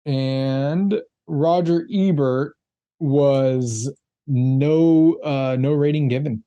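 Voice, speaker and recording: A man chats through a film review on a podcast and runs down the scores with an easygoing manner. His voice is mellow and warm, recorded close and dry with no room sound. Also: mid-range voice, very slow, no room sound, highs cut off. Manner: relaxed, reads out ratings and box office figures. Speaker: podcast co-host. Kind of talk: casual movie review chat.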